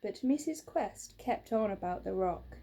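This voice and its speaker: A woman narrating a children's storybook in a measured, expressive way. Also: mid-range voice. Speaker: storybook reader.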